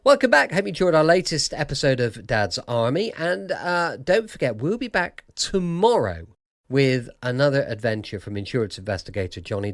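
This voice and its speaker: A man's voice: mid-range voice, no room sound, clean studio sound. A man hosting a radio podcast, chatting and plugging the show to listeners. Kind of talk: chatty show sign-off and promo.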